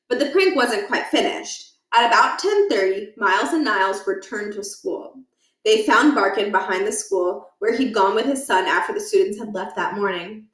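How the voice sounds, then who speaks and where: A woman narrating a children's book aloud in an expressive storytelling style. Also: medium pitch, echoey room, rough recording.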